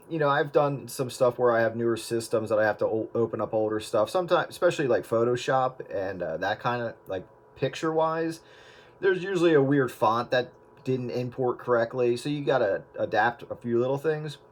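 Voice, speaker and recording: A man's voice: medium pitch, animated, normal speed. A man chatting casually about editing old video, rambling with many fillers and restarts. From US Northeast. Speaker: video editor. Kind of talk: casual chat.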